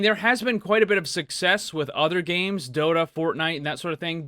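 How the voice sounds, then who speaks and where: A man's voice: a little high, bright, forceful tone, dry recording, clean studio sound. A man hosting a gaming video, giving upbeat commentary as he answers viewer questions.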